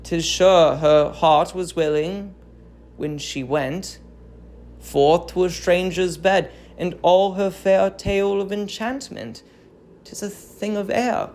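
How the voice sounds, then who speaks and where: A woman's voice: on the low side, fairly even intonation, takes it slowly, darkish tone. A woman voicing a stern, wrathful Greek king with a commanding, declamatory delivery in a dramatic audiobook. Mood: cold resolve.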